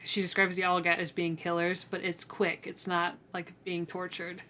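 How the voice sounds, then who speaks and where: Woman podcast co-host, slightly low voice, chatting about a book, kinda tentative. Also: completely flat delivery, moderate pace, pushed and bright, a little hiss or hum. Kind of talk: casual book discussion.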